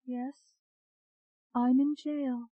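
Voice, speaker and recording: A woman's voice: sits high, level tone, drawn-out words, dry recording, phone-quality sound, voice pushed up, heavily acted. A woman voicing a passive, entranced young woman in an audiobook, with a hollow, distant voice.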